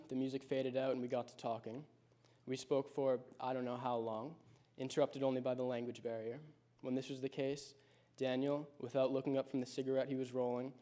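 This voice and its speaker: Teenage boy, high school senior, prepared speech, reflective, flat delivery, mellow dark voice. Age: late teens. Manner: reflective, measured storytelling.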